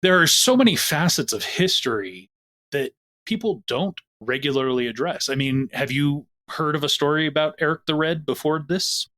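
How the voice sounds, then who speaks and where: A man's voice: on the high side, animated, moderate pace, bright, forceful tone. A man who produces a podcast, talking at length in a casual discussion with plenty of examples. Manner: expansive, storytelling.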